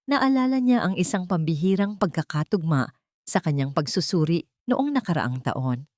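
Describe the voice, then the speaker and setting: A woman's voice: lowish voice, big pitch swings, normal speed, darkish tone, no room sound, clean studio sound. A woman narrating a dramatic story from a script as an audiobook reader.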